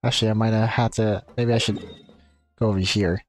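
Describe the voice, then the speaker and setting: A woman's voice: extremely low pitch, fairly even intonation, brisk, a little mellow. A woman giving casual gameplay commentary as a streamer, musing and thinking aloud as she goes. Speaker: game streamer. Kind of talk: casual gameplay commentary, reading dialogue choices. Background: game music.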